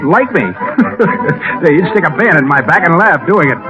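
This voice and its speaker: Male actor as cocky soldier, slangy, swooping pitch, 1930s radio drama, dull recording over music. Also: lively intonation, moderate pace, close to an everyday voice. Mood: irritated. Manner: slangy, tough, flirtatious. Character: brash, cocky American soldier and lady killer in the Legion.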